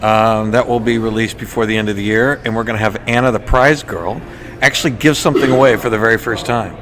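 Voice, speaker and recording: A man hosting a podcast in casual chat, enthusiastic and somewhat halting, often repeating words. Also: on the low side, fairly even intonation. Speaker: podcast host. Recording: faint background noise.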